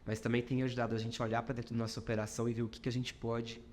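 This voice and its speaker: A man's voice: medium pitch, little pitch movement, racing, a little mellow, a little hiss or hum. Reflective Minas Gerais-accented man. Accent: Minas Gerais Brazilian Portuguese. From Brazil. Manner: reflective, many fillers, talks in short clauses. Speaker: company founder, podcast guest. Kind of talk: conversational podcast interview.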